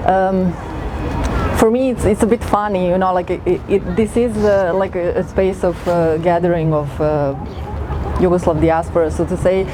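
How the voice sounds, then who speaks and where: A woman's voice: fairly low pitch, fairly even intonation, moderate pace, a crowd in the background. A woman from northern Serbia, a research fellow speaking on an outdoor city tour in a hesitant, friendly way with many fillers. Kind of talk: talk on a guided city tour. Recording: outdoor street noise. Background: street traffic.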